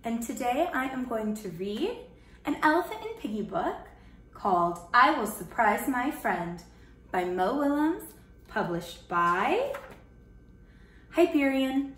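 Woman doing kids storytime, high voice, really animated, echoey room. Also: slow, long pauses. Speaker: children's museum storyteller. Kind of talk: animated picture-book read-aloud for children. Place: small indoor room in front of a banner.

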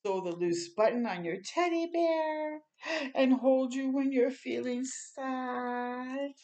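An older woman hosting a children's storytime, reading a picture book aloud slowly and gently, pausing to react to the pictures. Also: a little high. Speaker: storytime host. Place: small room indoors.

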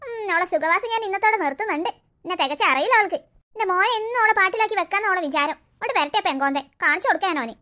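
A woman's voice: very high-pitched, lively intonation, racing, sharp tone, exaggerated voice. A woman doing a scheming, grumbling old grandmother. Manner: sighing, complaining, muttering to herself. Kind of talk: one-person family skit.

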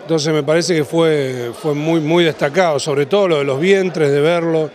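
A man in his thirties with an Argentine accent, answering interview questions as a livestock auctioneer, in a halting way that repeats and rephrases. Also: fairly high pitch, fairly even intonation, darkish tone. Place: large indoor event hall after an auction. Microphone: handheld interview microphone.

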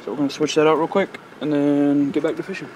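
A man's voice: on the high side, quick pace. A man, talking casually and slangily as he films a fishing vlog outdoors by ponds. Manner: laid-back, slangy, excited over catches. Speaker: fishing vlogger. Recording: noisy outdoor camera audio.

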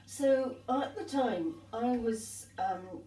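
A woman's voice: fairly high pitch, slow. An older woman, an artist, telling her story in a rambling, reminiscing way at a tea table in a glass conservatory. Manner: rambling, warm reminiscing with restarts. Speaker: artist and guest. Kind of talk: conversational storytelling. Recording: echoey room, distant mic, faint hiss.

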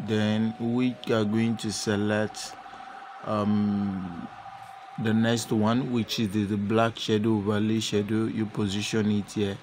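A man narrating a step-by-step software tutorial unscripted, with halting, repetitive instructional phrasing. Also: fairly low pitch, flat delivery, a little hiss or hum. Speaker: tutorial presenter.

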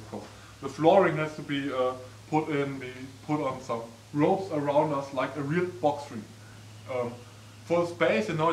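A young man giving a casual, chatty walkthrough tour of an old wooden barn, with lots of ums, recorded on a camera mic from a distance. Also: medium pitch. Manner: chatty, frequent ums, gestures while walking. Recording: echoey barn, distant mic, faint noise.

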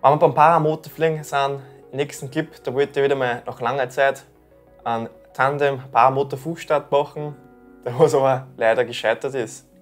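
A young Austrian man speaks in a relaxed, easygoing way at an average pitch as he comments on clips. Soft music plays faintly in the background. The recording comes from a small indoor room. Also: normal speed. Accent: Austrian German. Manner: relaxed, dialect-tinged, talks through clips. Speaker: paragliding YouTuber commenting clips. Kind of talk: casual commentary.

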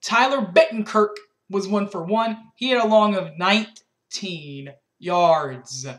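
A man's voice: sits high, slow pace, fairly bright tone. A young man recapping a college football game from his bedroom, reading scores and stats methodically with restarts, recorded on a webcam. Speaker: sports fan reviewer. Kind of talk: postgame recap. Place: small bedroom indoors. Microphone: webcam at desk.

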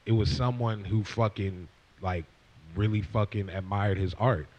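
Deep-voiced young man telling a story. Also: steady tone, measured pace, highs cut off. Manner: profane, rambling, acts out quoted lines. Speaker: guest rapper. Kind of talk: casual storytelling. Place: stage with leather couch before audience. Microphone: handheld mic.

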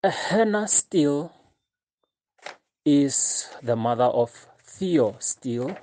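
A man playing an official who sums up a document in a drama, halting and measured. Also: on the high side, lively intonation, warm tone. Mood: hesitant. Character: official summing up a short legal document.

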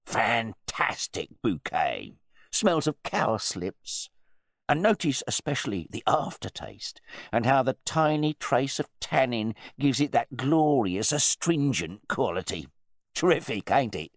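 A man voicing a pompous, blustering, newly rich wine bore with a loud, boastful manner and rough grammar, in a story reading. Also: medium pitch, sing-song, unhurried, dry recording, studio quality, voice pushed up, exaggerated voice.

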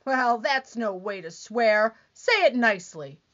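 A woman's voice: fairly high pitch, animated, measured pace, fairly bright tone. A woman acting a needy, easily wounded, nagging wife in an old-time radio comedy, with whiny, dramatic complaining. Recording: dull, lo-fi band-limited sound.

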